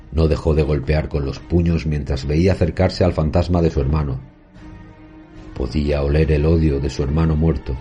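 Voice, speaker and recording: A man playing a haunted professor, recounting a horror tale in measured, solemn, literary narration. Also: rumbling low, warm tone. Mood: grim suspense. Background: music.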